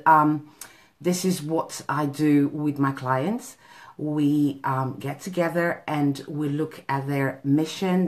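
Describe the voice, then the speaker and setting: A woman from Portugal speaks to an online group through a phone held at arm's length in a small office. Her voice sits low and her pace is unhurried. She talks in a chatty way, filling pauses with ums and checking in with "okay?". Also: fairly bright tone. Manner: chatty, tags points with "okay?", many ums. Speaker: business coach. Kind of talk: live video talk to a group. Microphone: phone camera at arm's length.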